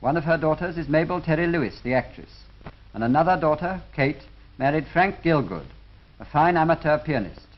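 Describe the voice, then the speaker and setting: A man with a British accent narrating a scripted 1930s film in a formal, measured way. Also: fairly high pitch, lively intonation. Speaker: documentary narrator. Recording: dull lo-fi 1930s film soundtrack.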